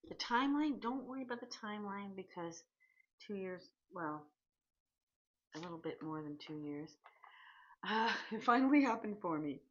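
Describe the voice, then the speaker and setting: A woman in her sixties from Canada, chatting candidly in a personal vlog, pausing and restarting as she goes. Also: mid-range voice, expressive, a bit bright, muffled recording. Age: 60s. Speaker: vlogger.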